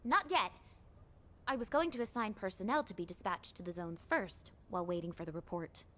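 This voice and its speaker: A woman's voice: medium pitch, a little fast, noisy background, natural voice. An actress voicing a caring young female headquarters officer in a game. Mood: concerned.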